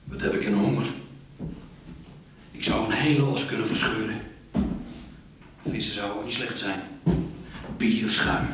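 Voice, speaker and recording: A man's voice: on the low side, fairly even intonation, a little slow, pauses often, distant mic, natural voice. A man acting in a radio play as a nervous, eager small-time robber, stammering and anxious. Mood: hungry. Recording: very echoey room, off mic, faint noise.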